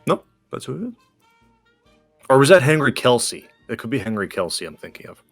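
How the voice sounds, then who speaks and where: A man chatting casually as a game co-host in a measured, explanatory way. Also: medium pitch, very animated, no echo, faint music behind. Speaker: gaming co-host. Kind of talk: casual chat during a game.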